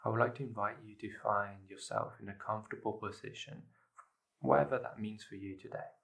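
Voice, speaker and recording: A man leading a guided meditation, giving measured instructions in short phrases with pauses between them. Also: on the low side, vocal fry, a bit bright.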